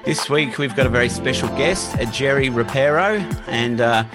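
A man hosting a podcast interview, speaking slowly and hesitantly with many fillers. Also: medium pitch, over loud music. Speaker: podcast host. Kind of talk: casual interview.

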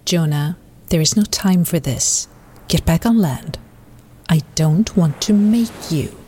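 A woman playing a smug, bossy disembodied divine voice in a comedic audio drama, speaking in a measured, commanding way. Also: lowish voice, warm tone, husky. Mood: insistent.

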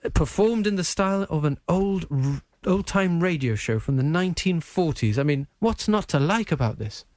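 A man hosting a live current affairs programme, asking questions in a conversational, halting way. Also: a little high, expressive, darkish tone, no room sound. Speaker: radio and TV programme host.

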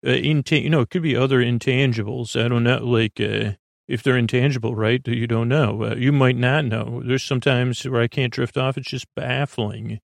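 A man's voice: medium pitch, steady tone, moderate pace, no room sound. A middle-aged man hosting a sleep podcast, meandering through tangents with many uhs and restarts. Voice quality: creaky. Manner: meandering, self-interrupting, lots of uhs and restarts. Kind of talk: rambling bedtime monologue.

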